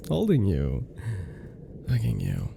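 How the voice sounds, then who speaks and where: A man performs an affectionate, teasing boyfriend in an intimate ASMR roleplay. His low voice has a gravelly creak and a soft, unhurried, playful delivery. Faint noise sits behind him. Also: wildly swooping pitch, exaggerated voice. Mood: warm, cozy.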